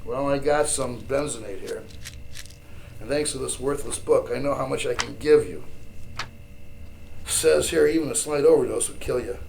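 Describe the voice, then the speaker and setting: An actor playing a gruff, sardonic rebel mentor with folksy, brusque speech in a radio drama. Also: male voice, mid-range voice, moderate pace, long pauses, noisy background, close to an everyday voice. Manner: folksy slangy, wry, brusque.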